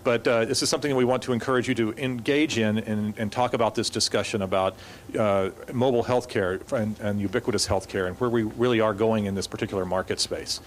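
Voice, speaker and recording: Male panel moderator, low voice, quick delivery full of fillers. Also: steady tone, a little hiss or hum. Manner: rapid, with many fillers and restarts. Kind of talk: conference moderation. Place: conference hall.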